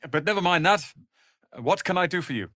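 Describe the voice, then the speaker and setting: An actor voicing a proud, prickly young wizard's apprentice in a fantasy video game, haughty, theatrical and sharp-tongued. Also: male voice, a little high, expressive, normal speed, no echo, clean studio sound.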